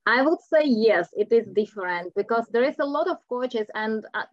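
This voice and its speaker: A woman's voice: fairly high pitch, animated, normal speed, no echo. A middle-aged woman with a Czech accent, a coach talking earnestly as a podcast guest and sometimes searching for words. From Czech Republic. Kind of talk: podcast interview. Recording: dull lo-fi audio.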